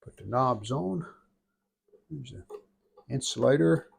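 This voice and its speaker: A man's voice: mid-range voice, lively intonation, slow pace, creaky, husky. A man talking casually through hands-on restoration work, in short, plain-spoken remarks as he goes. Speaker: vlogger restoring an antique radio. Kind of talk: casual hands-on workshop narration.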